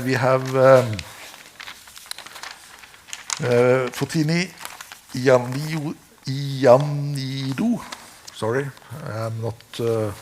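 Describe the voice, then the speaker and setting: Mid-pitched man from Norway, session moderator, halting, filler-heavy delivery. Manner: halting, many fillers and restarts.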